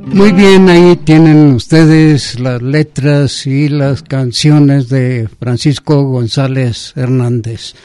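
A man, hosting a local radio program in relaxed, cheerful chat. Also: medium pitch, takes it slowly, hardly any pauses, clean tone, faint music behind. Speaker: radio show host. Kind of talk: casual on-air chat.

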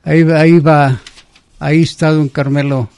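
A man, hosting a local radio program in relaxed, cheerful chat. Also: on the high side, normal speed, vocal fry, no echo. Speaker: radio show host. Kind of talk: casual on-air chat.

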